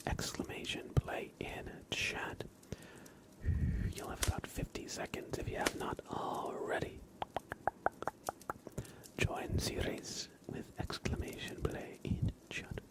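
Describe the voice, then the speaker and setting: A man hosting an ASMR livestream, whispering softly into a close microphone as he chats casually to viewers and comments on a race. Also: low-quality recording. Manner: soft whispering, unhurried chat to viewers. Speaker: livestream host. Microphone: close sensitive microphone.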